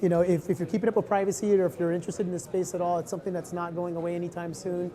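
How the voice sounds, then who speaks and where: A woman moderating a live panel discussion, talking quickly and casually with fillers. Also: lowish voice, little pitch movement, dark tone.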